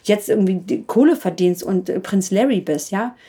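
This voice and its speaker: A woman's voice: medium pitch, animated, normal speed, mellow, husky. A woman guest on a podcast, telling personal stories in an emphatic, down-to-earth way. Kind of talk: casual interview talk.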